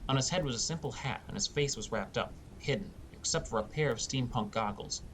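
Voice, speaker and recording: A man narrates a first-person fantasy audiobook in a mid-pitched voice. There is faint rumbling noise in the recording. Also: fairly quick, a bit bright.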